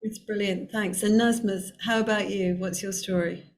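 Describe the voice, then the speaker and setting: A woman's voice: mid-range voice, steady tone, moderate pace. Encouraging woman hosting a webinar. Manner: encouraging, asks open questions. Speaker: webinar host. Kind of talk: moderating a panel. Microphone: video call.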